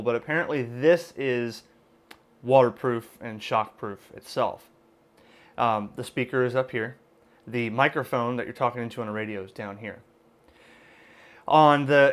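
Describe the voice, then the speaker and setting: A man with a mid-pitched voice talks casually through a product demonstration. His explanations are informal and conversational, often hedged, like someone thinking aloud at his desk. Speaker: amateur radio video host and product reviewer. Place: indoors at a computer desk.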